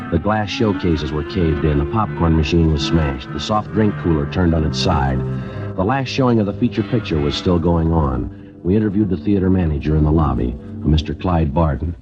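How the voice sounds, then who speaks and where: Low, deadpan police sergeant played by a man. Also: natural voice. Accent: American. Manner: deadpan, clipped, matter-of-fact. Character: terse, world-weary male police sergeant narrating his cases. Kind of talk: 1950s radio crime drama acting and narration. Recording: muffled old radio recording with music behind.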